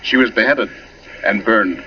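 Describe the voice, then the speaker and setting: An actor playing a genial, wry English professor who relishes old murders, in a 1940s radio drama, stretching words for emphasis. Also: male voice, lowish voice, unhurried, natural voice. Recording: muffled, very noisy 1940s radio recording.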